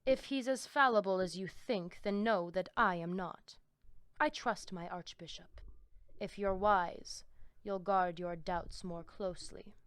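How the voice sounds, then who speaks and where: A woman's voice: mid-range voice, measured pace, fairly bright tone, smooth, dry recording, natural voice. A woman playing a proud, fanatical vampire cultist in a video game, speaking in solemn, cryptic, grandiose phrases. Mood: devout, stern. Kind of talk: video game character dialogue.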